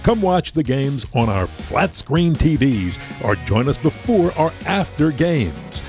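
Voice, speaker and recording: Lively male commercial announcer. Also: mid-range voice, slow pace, soft background music. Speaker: advertisement announcer. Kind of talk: upbeat scripted commercial narration.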